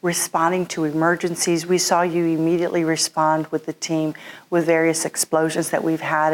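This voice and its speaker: A woman hosting an interview, speaking formally and in a complimentary way as she introduces and questions her guest. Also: sits low. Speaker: interview host, chamber of commerce president.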